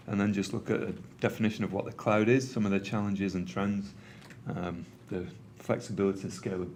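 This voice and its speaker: A man's voice: low-pitched, a little mellow, slight background noise. A middle-aged man with a Manchester accent, giving a conversational technical presentation to a room, with frequent ums and uhs. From England. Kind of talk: conference presentation. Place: meeting room with an audience.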